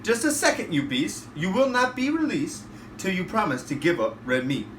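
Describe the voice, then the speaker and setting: A man's voice: high voice, very animated, moderate pace, a bit bright, pitched above the performer's own voice. A man voicing a bold, suspicious little girl from a storybook, exclamatory and challenging. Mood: commanding. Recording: roomy, distant, noisy home recording.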